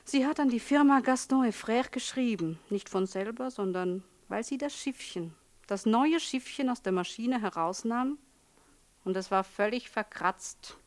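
An actress playing a shrewd, commanding lady in a 1960s radio play, speaking calmly and pointedly. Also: fairly high pitch, a little slow, close to an everyday voice. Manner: calm, pointed, instructing.

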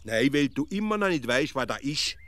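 An actor with a Swiss German accent playing a stubborn, earnest provincial amateur philosopher in a comic radio play, explaining haltingly and repeating his words. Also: medium pitch, big pitch swings, fairly bright tone. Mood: insistent. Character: naive know-it-all provincial male philosopher, stubborn, earnest.

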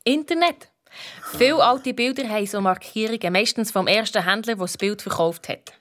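A clever, self-assured woman detective played by an actress tells her case in Swiss German. She is brisk and a little cheeky, in the storytelling style of a children's radio play. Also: medium pitch, fairly bright tone, dry recording, studio quality, natural voice. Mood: knowing, teasing. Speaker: lead detective and narrator in a children's audio drama.

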